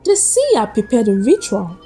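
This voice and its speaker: A woman narrating a scripted folktale as a storyteller, with suspenseful, deliberate phrasing. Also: a little high, huge pitch swings, warm tone. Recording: music behind the voice. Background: background music.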